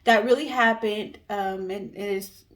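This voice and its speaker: A woman's voice: medium pitch, fairly even intonation, unhurried, a bit bright. A woman co-hosting a storytelling guessing show, telling stories in a casual, conversational way.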